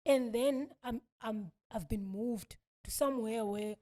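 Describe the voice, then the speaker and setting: A woman's voice: fairly high pitch, a little slow, a crowd in the background. A woman in her thirties with a Southern African accent, telling her own story as an interview guest, repeating phrases for emphasis. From born in South Africa, grew up in Malawi. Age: 30s. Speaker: podcast guest.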